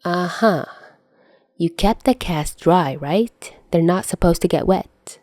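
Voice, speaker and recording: A woman's voice: fairly low pitch, slow pace, darkish tone, no room sound, studio quality. Woman playing a smug, bickering teenage boy. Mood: nagging. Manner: teasing, condescending.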